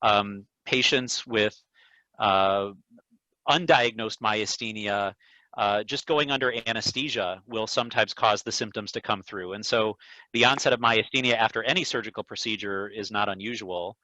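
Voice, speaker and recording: A man speaking as an eye doctor, explaining things to a patient in a measured, careful way over a video call. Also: lowish voice, sharp tone, no room sound. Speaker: neuro-ophthalmologist answering patient questions. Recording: dull, lo-fi video call audio.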